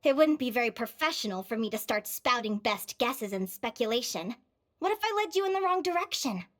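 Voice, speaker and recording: High-voiced, upbeat young advisor. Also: female voice, fairly quick. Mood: reflective. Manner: friendly, expressive, conversational. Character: upbeat, self-assured young woman, an earnest advisor. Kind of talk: video game voice acting.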